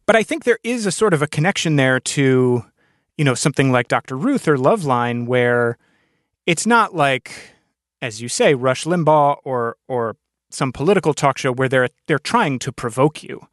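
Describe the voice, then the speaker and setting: A man hosting a thoughtful podcast interview, speaking in deliberate, measured phrases with pauses. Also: medium pitch, lively intonation, dry recording, studio quality.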